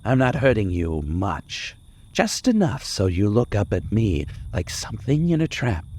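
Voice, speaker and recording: An actor playing a brooding, menacing male killer in old-time radio suspense style, intense, halting and obsessive. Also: lowish voice, big pitch swings, a little mellow, a little hiss or hum. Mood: coldly threatening.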